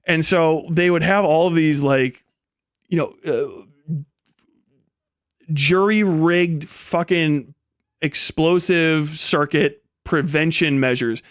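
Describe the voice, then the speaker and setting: A man's voice: on the high side, takes it slowly, clear, resonant tone, dry recording, clean studio sound. A middle-aged man telling stories in a casual, rambling livestream style, with lots of swearing and slang. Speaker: streamer telling stories from military service.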